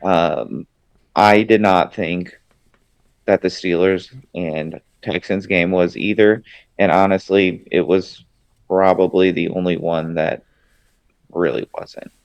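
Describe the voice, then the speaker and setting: A man's voice: deep voice, fairly even intonation, slow, creaky, dry recording. A man co-hosting a casual sports podcast, talking in a laid-back, wry way with lots of "um" and "like". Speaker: podcast co-host.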